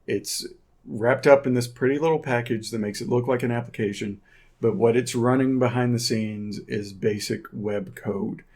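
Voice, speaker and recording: A man's voice: fairly low pitch, darkish tone. A man giving casual, unscripted tech commentary as a video host, in a conversational, opinionated way.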